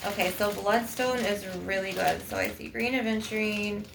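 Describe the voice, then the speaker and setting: A woman's voice: medium pitch, moderate pace, noisy background. A woman hosting a casual spiritual ASMR healing session, speaking informally and encouragingly straight to the listener. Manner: informal, encouraging, repeatedly addressing the listener directly. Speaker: ASMR energy healer channel host. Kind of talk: ASMR-style spiritual coaching, casual chat.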